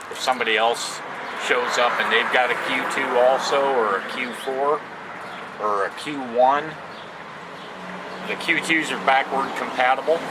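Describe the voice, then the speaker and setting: A man giving a casual, unscripted product demo outdoors, talking in a plain-spoken, halting way. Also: medium pitch. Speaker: amateur product reviewer. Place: outdoors on a porch. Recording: noisy outdoor recording with ambient sounds.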